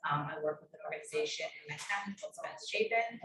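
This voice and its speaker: Hesitant low-voiced woman before an audience. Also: fast, a crowd in the background. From born in Colombia, raised on Long Island. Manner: warm, hesitant, with many fillers. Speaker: workshop presenter. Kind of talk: personal introduction at a conference panel. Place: conference room. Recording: echoey room, distant mic, audience around.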